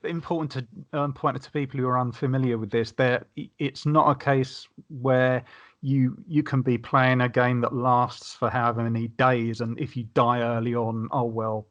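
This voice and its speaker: Man explaining casually, mid-pitched. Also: little pitch movement, moderate pace, a bit bright, highs cut off. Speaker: podcast panelist.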